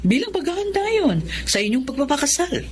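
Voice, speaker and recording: A woman's voice: high voice, sing-song, a little fast, slight background noise. An actress playing a firm, domineering middle-aged mother and company owner in a Filipino melodrama. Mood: scheming, firm. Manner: firm, imperious.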